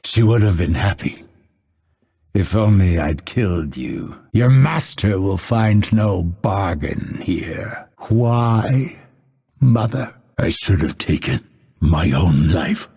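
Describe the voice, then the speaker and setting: An actor voicing a grim, vengeful male assassin in a video game, husky and gravelly, with measured, solemn, dramatic delivery. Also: low voice, lively intonation, dark tone, full character voice. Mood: bitter, vengeful. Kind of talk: scripted game character voice lines.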